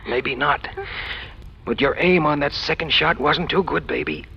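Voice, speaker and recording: A man's voice: on the high side, measured pace, gravelly, slight background noise. An American man playing a cynical, self-assured racketeer in a 1940s radio crime drama, with wry, slangy tough-guy talk. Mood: weary. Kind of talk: 1940s radio crime drama acting, first-person narration and dialogue. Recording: muffled old radio recording, music.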